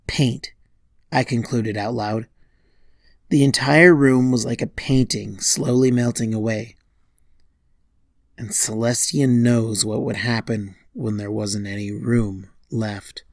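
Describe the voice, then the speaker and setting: Guy reading a creepy story, slow and gravelly, lots of pauses between bits. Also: medium pitch, steady tone, no echo, studio quality. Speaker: fan fiction narrator. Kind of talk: scripted first-person horror story narration.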